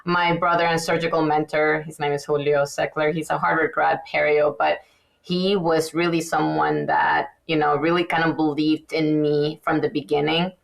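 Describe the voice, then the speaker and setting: Low creaky-voiced woman from Venezuela. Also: moderate pace, rough recording. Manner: chatty, many fillers and restarts, animated. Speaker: guest dentist. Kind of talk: casual podcast interview.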